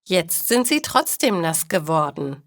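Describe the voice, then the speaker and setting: A woman voicing a cheerful, practical female bakery owner in a storybook, with animated, emphatic delivery. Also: fairly low pitch, unhurried, no echo, clean studio sound, heavily acted. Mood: amused.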